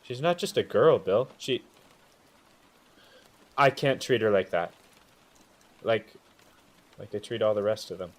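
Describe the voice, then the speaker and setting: Male actor as troubled, defensive brother, a famous actor, halting delivery, radio drama style. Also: lowish voice, expressive. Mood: hesitant, guarded. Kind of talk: audio drama acting.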